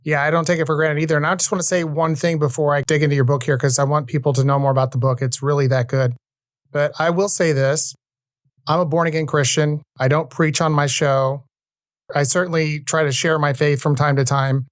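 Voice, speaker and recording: A man's voice: fairly high pitch, little pitch movement, a little fast, no room sound, clean studio sound. A man in his fifties hosting a podcast, talking earnestly and at length in a conversational interview style. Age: 50s. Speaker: podcast host.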